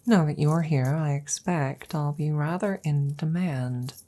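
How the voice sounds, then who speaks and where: Woman voicing a wry affectionate witch, low-pitched, mellow, intimate ASMR roleplay, soft and gently teasing. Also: measured pace. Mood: confiding, wry. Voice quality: soft, breathy, often slipping into whispers. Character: wry, affectionate young witch soothing her werewolf familiar. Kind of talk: ASMR audio roleplay, intimate close-up delivery.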